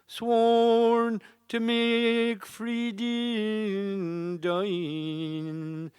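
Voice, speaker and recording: A woman delivers an old lament at a very slow pace, solemn and drawn out. Her voice is exceptionally smooth and resonant, set in a dry, close recording. The style is lyrical and mournful. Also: mid-range voice, little pitch movement, darkish tone, no echo, soft background music.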